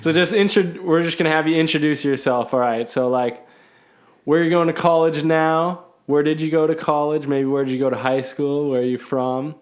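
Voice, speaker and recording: A man's voice: fairly high pitch, fairly quick. Joking young man hosting a podcast. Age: young adult. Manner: joking, teasing, laughs often. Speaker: podcast host. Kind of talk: casual podcast chat.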